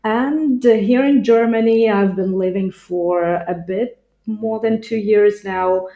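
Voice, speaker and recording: A woman's voice: medium pitch, slow pace, reverberant, muffled recording. A woman from Ukraine with a Ukrainian accent, giving casual interview answers as a podcast guest. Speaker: podcast guest, language teacher.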